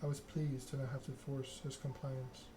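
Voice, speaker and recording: Male ASMR game reader, measured and hushed, very even intonation, noticeably noisy recording. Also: medium pitch. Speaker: video game player reading the story aloud. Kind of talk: ASMR game read-along, narration with dialogue.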